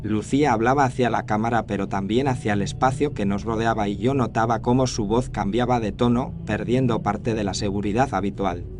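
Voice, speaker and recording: A man's voice: fairly low pitch, steady tone, brisk, few pauses, over music. A man reading a scripted first-person horror story as its narrator. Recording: faint noise and ambience behind narration.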